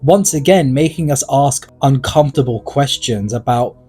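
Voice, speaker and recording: A man's voice: medium pitch, moderate pace, darkish tone, slightly rough. A man narrating a scripted video essay in an earnest way, leaning on words for dramatic emphasis. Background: background music.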